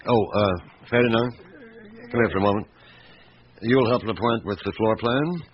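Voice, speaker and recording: A man playing a grave, methodical chief inspector in a radio drama, speaking in a measured, ruminative way. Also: lowish voice, lightly acted. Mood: quietly commanding. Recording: muffled, lightly noisy radio recording.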